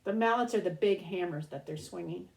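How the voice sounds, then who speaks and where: A woman teacher reading a children's picture book aloud in an expressive, child-directed manner, pausing to explain things. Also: on the low side, vocal fry, low-quality recording.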